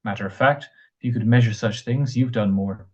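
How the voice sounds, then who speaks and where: A man playing a calm, blunt, reassuring ex-soldier friend in a radio drama, with a husky voice. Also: mid-range voice, brisk, highs cut off, natural voice. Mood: firm, frank. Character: steady, reassuring ex-soldier friend, practical and wry. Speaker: amateur radio drama actor.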